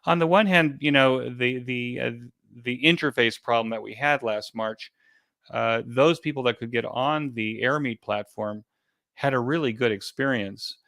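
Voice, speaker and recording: A man speaking as an interview guest in a conversational way, explaining things hesitantly with frequent pauses and repeated words. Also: medium pitch, gravelly, fairly bright tone, dry recording. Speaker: interview guest, event organizer.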